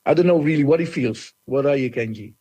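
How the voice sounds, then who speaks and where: Outspoken middle-aged coach, slightly husky, direct and unfiltered. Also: male voice, mid-range voice, quick pace, mellow. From Netherlands. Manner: blunt, outspoken. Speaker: assistant coach. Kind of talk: interview answers.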